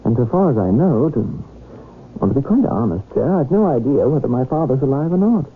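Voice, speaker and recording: A man acting an earnest young struggling doctor and husband in an old-time radio drama, expressive and well-spoken, on a noisy recording. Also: medium pitch, fast, phone-quality sound. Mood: intrigued, candid.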